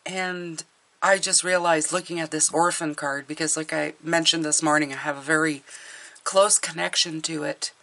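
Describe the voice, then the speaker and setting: A middle-aged woman with a hoarse voice from a cold, chatting casually through a tarot reading with fillers and restarts. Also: deep voice, fairly even intonation, moderate pace, sharp tone. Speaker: tarot reader.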